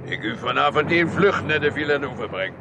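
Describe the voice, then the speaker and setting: An actor playing a cheerful, talkative foreign driver who speaks in eager broken phrases with a foreign accent in a radio play. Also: male voice, fairly high pitch, animated, a little fast, lightly acted. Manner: chatty broken speech, eager to please. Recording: noisy recording with engine sound.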